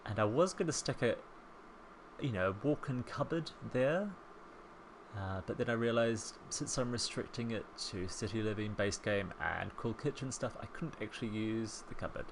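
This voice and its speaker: A man in his thirties with a soft New Zealand accent, chatting casually as a voice-over while he builds a home in a life-sim game, rambling and self-deprecating. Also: lowish voice, expressive, moderate pace, a little hiss or hum. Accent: soft New Zealand, softened by years in England. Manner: chatty, rambling, self-deprecating, with frequent ums and small laughs. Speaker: Sims speed-build creator.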